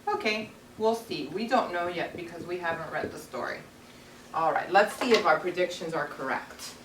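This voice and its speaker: Low-voiced woman teacher in a roomy classroom. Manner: instructive, simple deliberate phrasing for children. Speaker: elementary school teacher. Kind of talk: read-aloud lesson with questions to young children. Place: carpeted classroom full of children. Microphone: far camera across the room. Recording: roomy, distant classroom camera with background noise.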